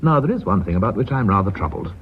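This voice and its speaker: An actor playing an authoritative, coolly confident British company chairman in a radio drama, speaking in a measured, formal, drily ironic way. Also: on the low side, sing-song, vocal fry, a little hiss or hum. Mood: formal, gracious. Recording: muffled vintage radio drama recording.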